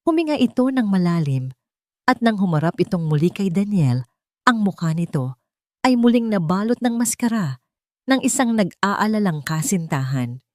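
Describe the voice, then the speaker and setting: A woman's voice: mid-range voice, sing-song, normal speed, dry recording, clean studio sound. A woman with a Filipino accent reading a scripted dramatic story as a narrator. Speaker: audiobook narrator.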